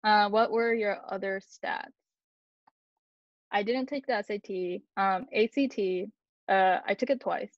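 A woman's voice: medium pitch, a little slow, no echo. Young woman on a dull video call. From grew up in Japan and Taiwan. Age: young adult. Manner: chatty, self-deprecating, many fillers. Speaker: college student on alumni panel. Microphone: laptop mic on a video call.

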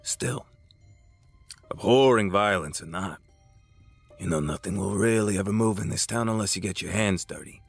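An actor playing an older crime boss in an audio drama, patronizing and deliberate, with a smug, folksy menace. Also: male voice, sits low, gravelly, no echo, faint music behind. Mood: smug, persuasive.

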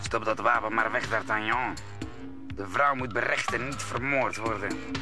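A man's voice: on the low side, steady tone, moderate pace, over music, lightly acted. An actor playing a stern, commanding musketeer nobleman in an old Dutch radio play.

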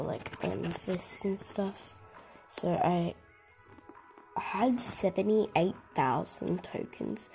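A young girl with a croaky voice from a cold, softly rambling through a tour of her game with lots of "like" and "um". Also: lowish voice. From Australia. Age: child. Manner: soft, half-whispered, rambling with many "like" and "um". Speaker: gaming channel host. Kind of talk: casual game tour commentary. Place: at home, filming a laptop screen. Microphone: phone camera.

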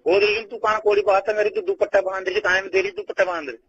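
A man's voice: high voice, steady tone, quick pace, a bit bright. A man with a Rajasthani accent, chatting casually and privately as a caller on the phone. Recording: dull lo-fi phone call, background noise.

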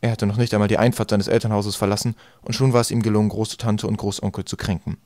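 A man's voice: lowish voice, level tone, fast, a little mellow, no room sound. A man narrating a storybook as a scripted audiobook reading. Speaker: audiobook reader.

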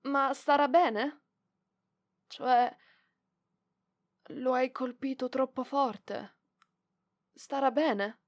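Una donna che interpreta una giovane bibliotecaria orgogliosa e impacciata, scontrosa ma tenera, esitante e indignata, in un roleplay ASMR. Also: female voice, high-pitched, exaggerated voice. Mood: indignant, alarmed. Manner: hesitant, indignant, halting with sighs. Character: proud, flustered young female librarian, prickly but softening. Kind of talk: ASMR roleplay voice acting, one side of dialogue.

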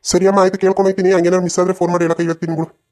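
A woman's voice: fairly low pitch, flat delivery, racing, mellow, natural voice. A woman voicing a protective, dutiful young village man in a cartoon family drama, earnest and insistent, with a rural southern Karnataka accent. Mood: dutiful, warm.

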